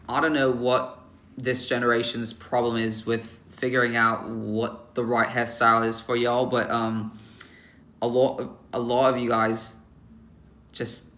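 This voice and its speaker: Blunt young man, bright forceful voice. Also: mid-range voice. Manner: blunt, offhand verdicts with many fillers; joking, teasing the chat. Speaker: livestream host rating viewers' photos. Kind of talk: casual live-stream chat.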